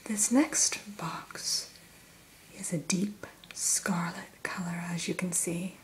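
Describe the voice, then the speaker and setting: A woman whispering softly as a dragon hatchery keeper in an ASMR roleplay, gentle and a little formal, close to the mic. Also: on the low side, fairly even intonation, rough recording. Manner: soft whisper throughout; gentle, unhurried, mildly formal.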